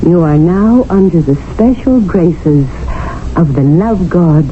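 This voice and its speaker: A teasing worldly english lady, lively up-and-down voice, thin tinny old radio, faint hiss. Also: fairly low pitch, takes it slowly. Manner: teasing and light, with lively swings of tone. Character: playful, worldly Englishwoman in colonial India. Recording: tinny 1970s radio broadcast with faint noise.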